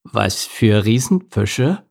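A man's voice: lowish voice, animated, slow pace, gravelly, a little mellow, exaggerated voice. A man voicing a cheerful, cheeky male eel in a storybook reading, brash and teasing. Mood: alarmed.

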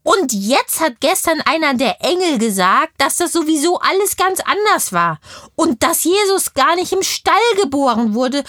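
High, bright, chatty little girl. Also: no echo, studio quality. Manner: childlike, eager, wordy storytelling. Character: curious, chatty little girl named Lotte. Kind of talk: audio play interview.

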